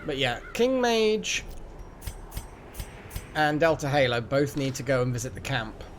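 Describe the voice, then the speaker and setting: A man's voice: medium pitch, big pitch swings, a little slow, a bit bright, faint music behind. A man hosting a live game stream, chatting casually and rambling as he thinks aloud. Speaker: game livestream host. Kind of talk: casual live gaming chat.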